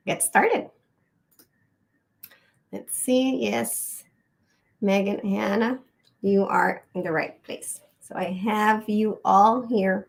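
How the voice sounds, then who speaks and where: A woman's voice: medium pitch, gravelly. A middle-aged woman from Rica, hosting a live educational webinar. She talks in a friendly, personal way, telling stories with frequent ums. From Costa Rica.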